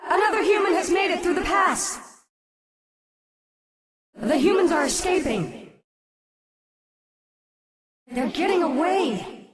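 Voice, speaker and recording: A woman voicing an eerie undead commander in a fantasy game, sharp, dramatic and intense, over crowd-like clamour. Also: very high-pitched, expressive, slow, long pauses, exaggerated voice. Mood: urgent.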